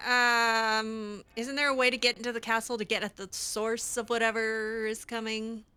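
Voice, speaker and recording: A woman's voice: a little high, level tone, normal speed, sharp tone, clear, resonant tone. A woman improvising in character for a game roleplay, sardonic and hesitant, given to sighing. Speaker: gamer voicing her own character in a roleplay playthrough.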